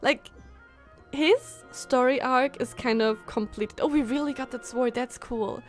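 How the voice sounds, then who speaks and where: A woman's voice: high voice, unhurried. A young woman streamer giving casual, gushing live commentary on a video game. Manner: excitable, gushing, giggly. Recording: game music behind the voice.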